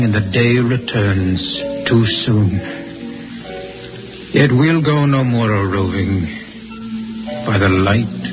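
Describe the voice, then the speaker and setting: Solemn, troubled spaceman, vintage radio. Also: mid-range voice. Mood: wistful. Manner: solemn, brooding, poetic. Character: earnest, troubled idealistic spaceman, disgusted with his own kind. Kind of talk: 1950s radio drama acting. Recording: dull vintage radio recording, faint music.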